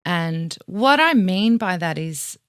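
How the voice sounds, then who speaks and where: A woman's voice: fairly low pitch, expressive, takes it slowly, clean tone, no echo, clean studio sound. Frank, teacherly Australian woman coach. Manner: frank and teacherly, casual swearing. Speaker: vocal coach. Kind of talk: explaining vocal health in a casual interview.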